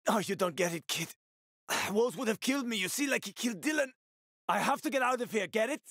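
A man's voice: high voice, animated, quick pace, a bit bright, no room sound, studio quality, full character voice. An actor playing a roguish, wisecracking male survivor in a video game, talking in a casual, teasing, sweary way. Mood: desperate, pained.

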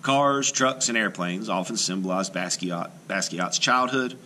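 A man, an art teacher, reading a children's biography book aloud in measured, expressive phrases with deliberate pauses. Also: low-pitched, a little hiss or hum.

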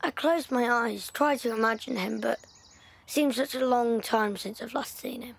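Woman doing a thoughtful evacuee girl from london, high voice, reflective, radio play. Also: normal speed, creaky, breathy edge. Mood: wistful. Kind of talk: audio drama acting.

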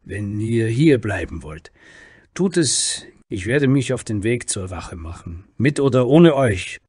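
A man voicing an angry, commanding male warrior leader who growls gruffly in a fantasy story. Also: on the low side, sing-song, a little slow, darkish tone, no echo, studio quality, heavily acted. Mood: angry, stubborn.

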